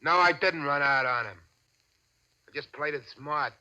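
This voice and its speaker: An actor playing a cowardly young bank robber, nervous and whining with an American accent, in 1940s radio style. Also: male voice, on the high side, moderate pace, pushed and bright, dull audio. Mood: defensive. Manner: whining, nervous, stammering, pleading. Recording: old radio recording, faint vehicle noise.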